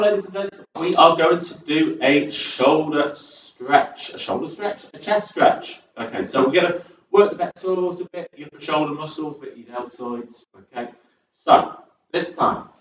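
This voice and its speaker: A man with a northern English accent leads a seated workout, cheerful and rambling, his pitch bouncing up and down as he counts down the reps. He is heard from across a very echoey room, and the sound is muffled. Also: a little hiss or hum. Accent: northern English, Southport. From England, born in Birmingham, raised in Southport. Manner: chatty, upbeat, rambles between cues, counts down reps. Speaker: fitness instructor. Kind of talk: chair-based exercise class, livestream. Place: large echoey room at a community sports centre. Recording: very echoey, distant and dull.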